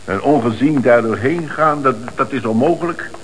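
Radio play company director, acted by a man: earnest, easily rattled, halting, Netherlands Dutch accent, faintly hissy old recording. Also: medium pitch, lightly acted, poor audio. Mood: flustered, shocked. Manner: halting, repeats words when rattled. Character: agitated, earnest male company director, flustered witness.

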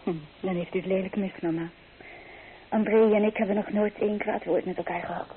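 Actress as a restless young bride, tinny old recording. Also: female voice, medium pitch, fairly even intonation, a little fast, lightly acted. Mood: evasive. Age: young adult. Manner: emotional, poetic, impassioned. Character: restless, romantic young bride-to-be, anguished and defiant. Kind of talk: old Dutch radio drama acting. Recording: thin, band-limited old radio recording, faint noise.

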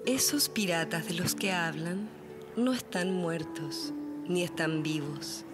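A woman playing a solemn old village matriarch in a radio drama, grave and pleading as she warns her people. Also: lowish voice. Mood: grave warning. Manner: grave, slow, pleading storytelling. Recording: loud music under the voice.

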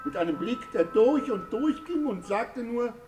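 An actress in a German radio drama playing an earnest, troubled young wife with a dramatic delivery. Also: female voice, a little high, lively intonation, measured pace. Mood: pensive. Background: music.

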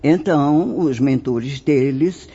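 A man's voice: mid-range voice, slow pace, dark tone, slightly rough. A man with a Brazilian accent playing a solemn, fatherly spirit mentor in a radio drama, teaching in a formal, dramatic way. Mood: grave, earnest. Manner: formal, didactic, dramatic delivery. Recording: dull, muffled lo-fi radio drama recording.

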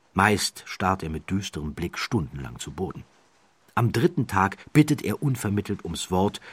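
Deep, husky male storyteller. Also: a bit bright, no echo. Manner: measured, emphatic storytelling with deliberate pauses. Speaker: audiobook narrator. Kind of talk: scripted dramatic narration of a true crime story.

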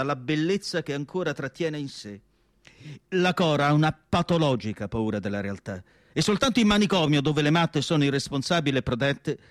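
Man acting melancholy psychiatric doctor, radio drama monologue, slightly high male voice, emphatic delivery, deliberate pauses, dry studio sound. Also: dry recording, lightly acted. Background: music.

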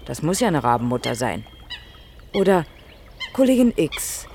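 A woman's voice: low-pitched, sing-song, gravelly, darkish tone, slight background noise, heavily acted. An actress playing a cheeky, outspoken young woman in her early twenties, teasing and ironic, in a naturalistic German radio drama. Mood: spirited, argumentative. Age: young adult. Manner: teasing, ironic, quick-witted.

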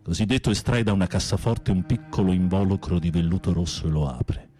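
An actor in an Italian radio drama playing a sensitive prisoner with a literary, dramatic, expressive way of speaking. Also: male voice, low-pitched, fairly quick, soft background music, close to an everyday voice. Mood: melodramatic storytelling. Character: theatrical, sensitive male prisoner telling melodramatic tales.

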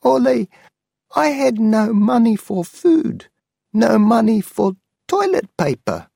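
Man voicing a very old woman, high and pleading, anxious, slow, dry close sound. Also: very animated, gravelly, mellow, no room sound, full character voice.

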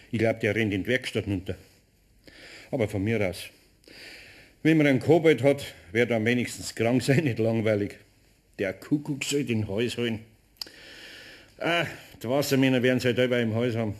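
A good-natured older master craftsman played by a male actor in a radio play. His medium-pitched voice has a Bavarian accent, and he grumbles and mutters in a patient, dry, natural way. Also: moderate pace, pauses often, close to an everyday voice. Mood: grumbling resignation.

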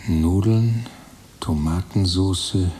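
An actor in a German radio play voicing a brooding, musing storyteller who invents his character aloud with deliberate delivery. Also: sits low, warm tone, a little hiss or hum.

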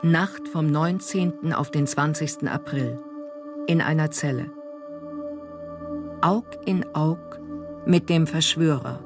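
A man's voice: fairly high pitch, slow pace, long pauses, over music. A man announcing scenes in terse, dramatic scripted narration for a radio play.

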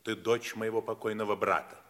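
An actor playing a pompous, stern older uncle, a self-pitying villain who speaks like an orator in a 1950s radio drama. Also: male voice, fairly low pitch, fairly even intonation, a little fast, a bit bright, close to an everyday voice. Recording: vintage 1950s radio play recording.

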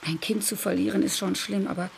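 A woman's voice: medium pitch, fairly even intonation, brisk, creaky, a little hiss or hum, close to an everyday voice. An actress playing a gossipy, homely female companion who chats and fusses solicitously in a radio drama. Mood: hushed concern. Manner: chatty, fussing.

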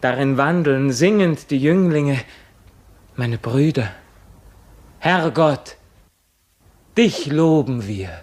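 An actor playing a frail, devout young visionary in a classical radio drama, speaking in a solemn, halting, ecstatic way. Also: male voice, medium pitch, expressive, darkish tone. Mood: exalted, fading.

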